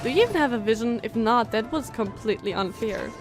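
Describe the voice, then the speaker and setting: A woman's voice: a little high, animated, fast, soft background music. A woman game streamer giving casual live commentary, musing and reacting aloud as she plays.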